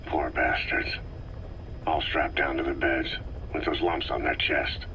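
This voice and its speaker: A man's voice: a bass voice, fairly even intonation, a little slow, sharp tone, a little hiss or hum, exaggerated voice, low-quality recording. A man playing a stoic military commander in a video game, speaking in clipped, laconic phrases. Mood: grim. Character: terse, stoic male soldier commander of few words. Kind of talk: scripted video game cutscene dialogue.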